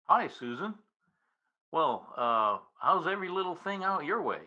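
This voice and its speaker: A man playing a bashful, folksy reporter courting his sweetheart in an old-time radio comedy, with a hesitant, halting manner. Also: a little high, sing-song, bright, forceful tone, muffled recording, exaggerated voice. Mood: bashful, nervous. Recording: video-call radio play recording.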